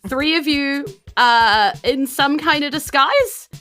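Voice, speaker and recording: A woman runs a tabletop game as its dungeon master. Her voice is bright and forward, and she narrates in a casual, conversational way. Faint music plays behind her. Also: sits high, slow.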